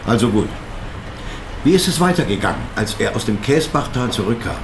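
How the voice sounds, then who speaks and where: Animated police inspector, echoey room. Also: male voice, fairly low pitch, background noise. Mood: skeptical, questioning. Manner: formal, halting, disapproving. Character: earnest, incredulous police chief inspector interrogating a witness. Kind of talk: German radio drama acting.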